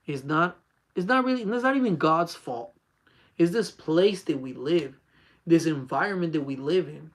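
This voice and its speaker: A man's voice: a little high, expressive, moderate pace, fairly bright tone. A man in his forties hosting a casual faith talk for his channel, speaking in an earnest, repetitive, urging way. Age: 40s. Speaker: channel host.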